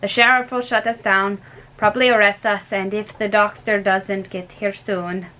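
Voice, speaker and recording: A woman's voice: mid-range voice, flat delivery, moderate pace, bright, forceful tone, close to an everyday voice, poor audio. A young woman reading aloud and voicing a weary middle-aged male professor with a put-on German accent, speaking emphatically. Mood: earnest. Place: small bedroom indoors. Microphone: webcam at close range.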